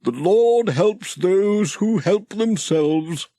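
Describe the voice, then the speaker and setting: A man's voice: sits high, expressive, extremely slow, warm tone, no room sound, voice pushed up, full character voice. A pious, genial vicar from a children's storybook, as a male reader puts him on. He speaks in a raised, sing-song preacher's voice, swooping and encouraging, in a dry recording.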